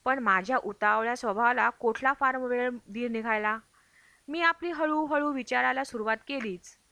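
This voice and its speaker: A woman's voice: fairly high pitch, normal speed, gravelly, pushed and bright, dry recording. A woman reading a travel memoir aloud as scripted audiobook narration. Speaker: audiobook reader.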